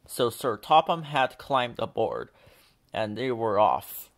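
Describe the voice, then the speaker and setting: A man reads a children's storybook aloud as narrator. His pitch sits in a middle range, and he tells the story as scripted narration. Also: sing-song, takes it slowly, gravelly, a bit bright.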